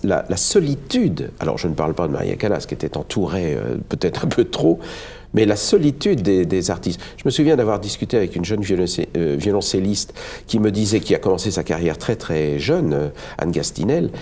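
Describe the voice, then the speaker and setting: A very deep, husky male voice that moves through a wide, expressive melody. He talks as a guest musician from Le Havre, earnest and reflective. He often pauses and restarts his phrases as he searches for the right word. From France, Le Havre. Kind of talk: studio cultural discussion.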